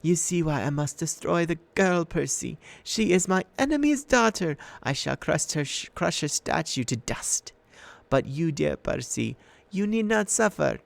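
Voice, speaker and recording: A man's voice: sits high, very animated, moderate pace, gravelly, no room sound, pitched above the performer's own voice, exaggerated voice. A man voicing a sweet-voiced old female monster with a purring, coaxing manner in an audiobook. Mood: menacing. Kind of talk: audiobook character voice.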